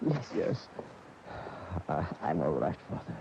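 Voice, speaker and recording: An actor playing a haunted former bullfighter turned priest in a radio drama, earnest and halting in his speech. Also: extremely low pitch, big pitch swings, heavy background noise, full character voice. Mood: haunted, agitated. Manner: halting, stammering, brooding. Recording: dull 1980 AM radio recording, music behind.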